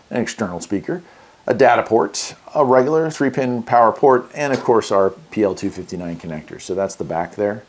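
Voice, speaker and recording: An American man hosting a casual product unboxing and review, talking in a folksy, enthusiastic way. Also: on the low side. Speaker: radio review host.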